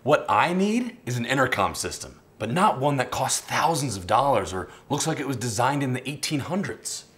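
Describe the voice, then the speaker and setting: A man in his thirties presents a scripted comic promo. His pitch sits in the middle and swings widely, with an upbeat salesman's delivery. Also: sing-song, normal speed, hardly any pauses, a bit bright. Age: 30s.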